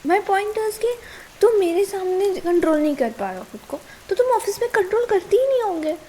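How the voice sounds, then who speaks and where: A woman's voice: extremely high pitch, lively intonation, normal speed, dark tone, a little hiss or hum. A young woman with an Indian accent playing a worried, scolding but caring girlfriend in an intimate ASMR roleplay, chiding and pleading as she mixes two languages. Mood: scolding, frustrated. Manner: pleading and chiding, switching between Hindi and English.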